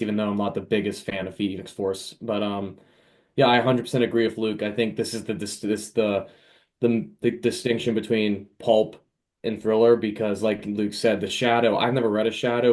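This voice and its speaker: A man with a fairly low voice talks as a guest author on a podcast. He is conversational and enthusiastic, in the loose style of a fan chatting with friends. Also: darkish tone. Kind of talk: casual interview chat.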